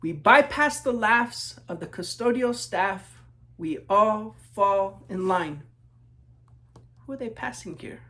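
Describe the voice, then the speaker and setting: Slow-paced man reading a children's story. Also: high voice, lively intonation, bright, forceful tone. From New Mexico, United States. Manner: measured, rhythmic reading with a repeated refrain. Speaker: children's author reading own book. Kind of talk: read-aloud storytelling with questions to young listeners.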